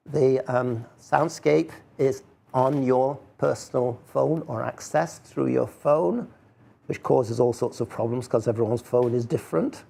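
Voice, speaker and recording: A man gives an informal public talk in a mid-pitched voice with a lot of gravelly creak. He is wry and rhetorical, thinking aloud as he explains things to his listeners. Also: mellow. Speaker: speaker giving a talk. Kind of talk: informal talk with audience questions.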